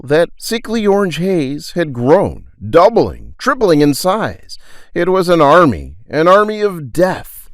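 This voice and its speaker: Animated male narrator of dramatic fantasy. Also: a little high, no room sound. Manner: theatrical, suspenseful. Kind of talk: dramatic scripted storytelling. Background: background music.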